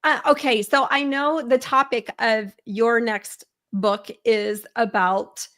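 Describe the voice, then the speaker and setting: A woman's voice: a little high, fairly even intonation, takes it slowly, fairly bright tone. A woman hosting an interview, asking questions in a friendly, affirming way. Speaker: interview host.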